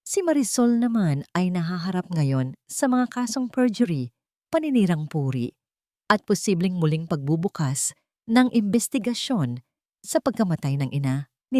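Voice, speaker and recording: A woman's voice: lowish voice, darkish tone, no room sound, studio quality. A woman narrating a scripted romantic drama as a storyteller.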